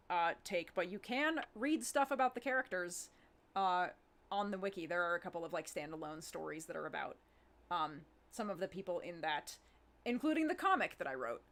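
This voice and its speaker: Woman chatting casually, halting and informal. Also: lowish voice, expressive, a bit bright. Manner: halting, thinking aloud, informal. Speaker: audio drama writer and producer. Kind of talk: casual chat in a live Q&A.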